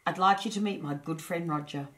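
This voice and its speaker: A woman's voice: on the low side, fairly quick, lightly acted, low-quality recording. A woman voicing a shy, kind young male dragon in a storybook, earnest and polite. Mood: bright, curious.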